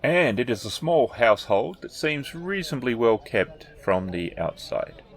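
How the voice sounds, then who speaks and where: A man narrating a tabletop game as game master, improvising descriptions in halting phrases. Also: mid-range voice, very animated.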